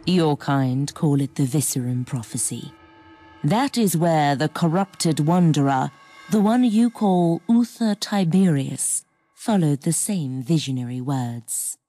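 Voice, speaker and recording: An aloof alien prophetess in a video game. Her voice is low and dark, and she talks in solemn riddles, looking down on whoever hears her. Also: lively intonation, slow pace, faint music behind, heavily acted. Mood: cryptic, aloof. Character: cryptic alien female seer, aloof and condescending.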